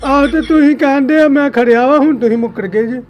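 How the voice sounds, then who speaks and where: Over a slightly noisy phone call, a man with a Punjabi accent speaks in a strikingly high voice. He plays a vegetable seller for a prank and keeps pressing his point in a pleading, insistent way. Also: fairly quick. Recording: phone call with faint noise.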